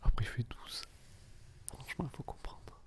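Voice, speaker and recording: A man whispering intimately to the listener in a casual ASMR style, with a playful, teasing manner. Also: moderate pace. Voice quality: breathy whisper. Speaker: ASMR video host.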